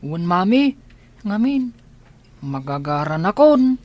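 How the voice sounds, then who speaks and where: An innocent little boy in a radio drama. He has an Ilocano accent and a curious, questioning way of talking, at a middling pitch. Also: very animated, slow, muffled recording.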